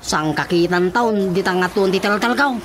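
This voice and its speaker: A man's voice: high-pitched, moderate pace, fairly bright tone, a little hiss or hum. A man acting an eager, goofy young nephew in a radio comedy drama, comically deferential to his elders. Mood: sheepish, joking.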